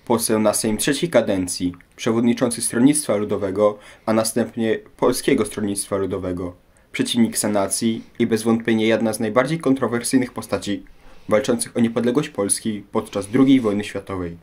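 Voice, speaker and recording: A man's voice: lowish voice, moderate pace, darkish tone. A young man presenting a scripted interview as host, in a formal, rhetorical manner. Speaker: radio programme host.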